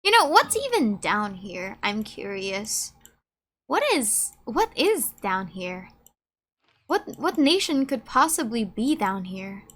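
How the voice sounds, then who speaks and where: A woman's voice: medium pitch, very animated, slow, a bit bright. A woman doing casual let's-play commentary, reacting aloud to game dialogue. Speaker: gaming streamer.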